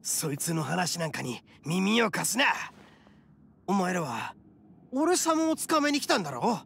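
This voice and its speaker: An actor playing a brash, loyal, hot-blooded oni gang boss in a Japanese anime game, rough, cocky and gravelly. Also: male voice, high-pitched, big pitch swings, unhurried, fairly bright tone, slight background noise, exaggerated voice. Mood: fierce. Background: game music.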